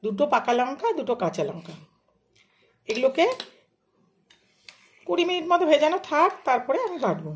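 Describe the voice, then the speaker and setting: Higher-pitched woman narrating recipes. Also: very animated, fairly quick, pauses often. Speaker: home cook hosting a cooking channel. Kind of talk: casual step-by-step recipe narration.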